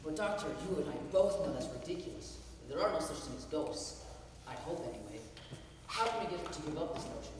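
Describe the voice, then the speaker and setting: A man's voice: a little high, expressive, fairly quick, close to an everyday voice. An actor playing a stern, controlling man of the house, commanding and dismissive. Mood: skeptical. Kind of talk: stage play acting. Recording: echoey hall from far off with noise.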